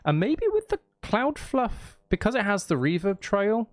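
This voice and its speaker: A man's voice: high-pitched, wildly swooping pitch, measured pace, no echo. A man streaming casually as he makes music, thinking aloud in a playful, rambling way. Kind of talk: casual livestream chat while making music. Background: electronic music being composed.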